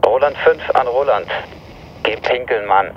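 An actor playing an offhand, teasing police colleague heard through a radio filter in a crime drama. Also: male voice, mid-range voice, unhurried. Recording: dull police radio, faint static.

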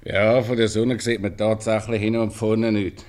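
Low-voiced plain-spoken Swiss husband played by an actor. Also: male voice, level tone, moderate pace, a little mellow, natural voice. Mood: matter-of-fact. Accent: Swiss German. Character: plain-spoken married man fresh out of confinement. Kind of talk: 1950s radio comedy acting in dialect.

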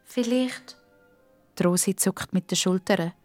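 A woman's voice: mid-range voice, moderate pace, no echo, soft background music. A woman with a Swiss German accent giving scripted narration for a children's audio story.

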